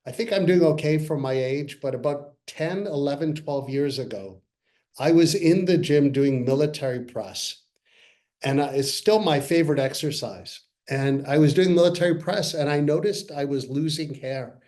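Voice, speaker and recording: A man in his sixties from Canada, a company co-founder earnestly pitching to investors in a webinar over a video call. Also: moderate pace, darkish tone. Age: 60s. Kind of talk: webinar presentation. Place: parents' home, by video call.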